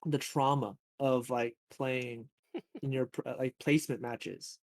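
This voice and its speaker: A man with a mid-range voice hosting a podcast in a relaxed, rambling way, full of "like" and "um". The sound is dry and close with no room behind it. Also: mid-range voice, unhurried, no echo. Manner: relaxed, filler-heavy, laid-back gamer talk. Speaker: podcast host. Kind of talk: casual chat.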